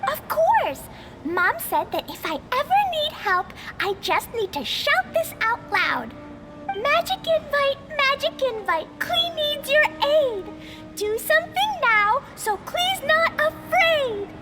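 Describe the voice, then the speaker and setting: Squeaky, excitable little girl adventurer. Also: slow pace, heavily acted. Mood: eager, chanting. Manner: exclamatory, childlike, eager. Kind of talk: animated video game voice acting. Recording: game music behind the voice.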